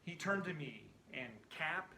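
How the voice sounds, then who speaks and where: A man giving an animated, theatrical recitation of a narrative poem, often dropping to a hush as phrases end. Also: medium pitch, takes it slowly, roomy sound, a little hiss or hum. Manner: animated, theatrical storytelling with hushed phrase endings. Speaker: amateur poetry reciter.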